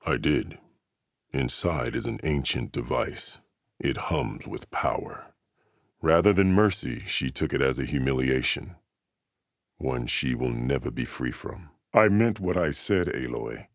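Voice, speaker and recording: Very deep, commanding warrior chief. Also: male voice, no room sound, clean studio sound, exaggerated voice. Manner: solemn, formal, authoritative. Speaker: video game character voice actor. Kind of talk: dramatic game dialogue.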